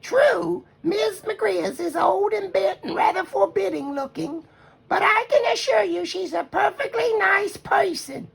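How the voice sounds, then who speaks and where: A narrator voicing a motherly, sensible female bear in a children's storybook, gently instructive and proper. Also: very high-pitched, a little slow, creaky, voice pushed up, full character voice. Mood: firm, reassuring.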